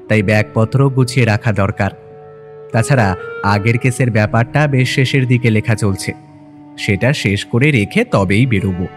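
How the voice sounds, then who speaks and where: A man narrating a scripted audio story in the first person. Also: on the low side. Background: clear music.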